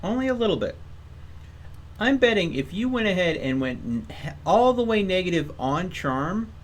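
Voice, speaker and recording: A man with a slightly high voice talks through a game as a streamer, casual and explanatory. He stretches phrases out as he muses, with faint game ambience behind him. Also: a bit bright, a little hiss or hum. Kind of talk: casual live game commentary.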